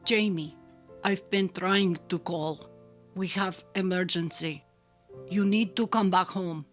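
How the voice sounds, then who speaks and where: A woman's voice: on the low side, slow. A woman voicing a frantic older mother, urgent and clipped, in a dramatic story reading. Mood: urgent, frightened. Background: faint music.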